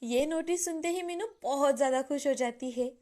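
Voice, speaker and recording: High-pitched woman narrating a children's story. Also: normal speed, dark tone. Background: background music.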